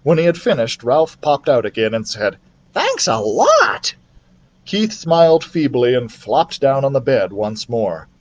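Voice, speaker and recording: A man reading a children's book aloud as a library storyteller, in an expressive style. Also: medium pitch, moderate pace, hardly any pauses.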